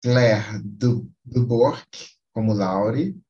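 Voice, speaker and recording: A man hosting a quiz on a casual podcast, playful and teasing, reading out film credits in halting bits. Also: medium pitch, mellow, dull audio.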